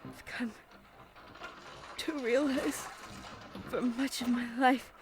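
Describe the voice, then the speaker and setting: An actress playing a dying kindergarten teacher, speaking slowly and haltingly. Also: female voice, a little high. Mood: reflective. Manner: slow, halting, reflective. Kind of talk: audio drama acting.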